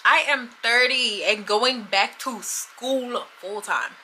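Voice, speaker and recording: A woman's voice: on the high side, slow pace, sharp tone, a little hiss or hum, rough recording. A woman in her thirties chatting candidly to the camera in a casual vlog, often laughing. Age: 30s. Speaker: vlogger.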